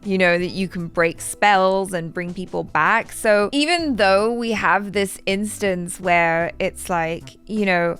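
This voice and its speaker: A woman's voice: lowish voice, a bit bright. A woman co-hosting a reaction show, commenting in an informal, conversational way. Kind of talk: casual commentary and chat.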